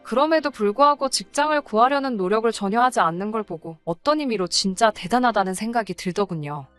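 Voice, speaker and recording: A woman's voice: fairly high pitch, expressive, fast, clear, resonant tone, no room sound, soft background music. A woman reading a scripted first-person personal story as a narrator.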